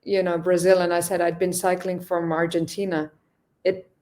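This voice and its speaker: A woman from Finland with a lowish voice talks through her travels at an easy pace. She often stops and restarts her sentences as she searches for words. It sounds like relaxed podcast conversation. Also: moderate pace. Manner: hesitant, many restarts, thoughtful. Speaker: podcast guest, long-distance cyclist. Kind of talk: casual interview chat.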